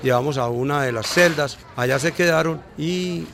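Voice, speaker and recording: A man's voice: fairly high pitch, brisk, creaky, noisy background. A man with a Colombian accent recalling events informally as an interviewee. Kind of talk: spontaneous interview recollection. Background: music.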